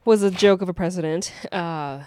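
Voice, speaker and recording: A woman's voice: on the low side, normal speed, warm tone, clear, resonant tone. A woman co-hosting a podcast in casual chat, chatty, rambling and playful. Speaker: podcast co-host.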